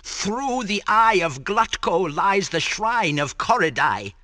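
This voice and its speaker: Wise old wizard guide, theatrical, slow-paced delivery with lively swoops, cartoon game cutscene. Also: male voice, high voice, dry recording, exaggerated voice. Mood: solemn, theatrical.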